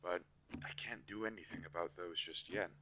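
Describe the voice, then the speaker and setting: A man playing a weary, protective magical inventor in an audio drama, speaking earnestly with halting pauses. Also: close to an everyday voice. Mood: gentle, resolute. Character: weary, protective male inventor-mage, creator of living dolls. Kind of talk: scripted audio roleplay drama. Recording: low quality, audible background hiss.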